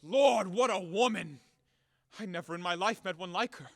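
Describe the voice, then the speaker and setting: A man acting a blustering, hot-headed gentleman landowner in an audio play, melodramatic and declamatory, wildly in love. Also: high-pitched, normal speed. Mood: agitated, passionate. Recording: long hall reverb, sometimes off-mic.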